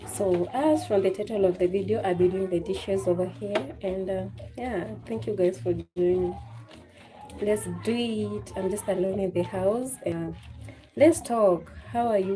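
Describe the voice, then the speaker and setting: A woman from Kenya with a cold, chatting casually with viewers of her livestream while washing dishes in her home kitchen. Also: mid-range voice. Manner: chatty, greets viewers, reads the live chat aloud. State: has a cold. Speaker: livestream host. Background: music.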